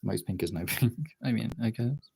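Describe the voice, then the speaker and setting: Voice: mid-range voice, moderate pace, vocal fry, darkish tone, slight background noise. A streamer chatting casually while drawing, rambling and thinking aloud in a self-deprecating way.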